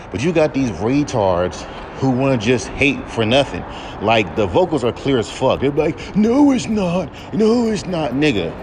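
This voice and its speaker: A man with a baritone voice hosting a podcast, ranting in a heated, profane, rambling way. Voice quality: deep baritone voice. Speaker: podcast host. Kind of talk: casual podcast rant.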